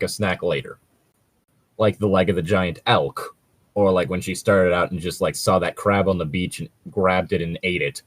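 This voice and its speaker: A man's voice: low voice, dry recording. A man chatting casually as a co-host, rambling and jokey with a bit of swearing. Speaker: co-host reading and discussing tabletop gaming stories. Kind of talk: casual chat.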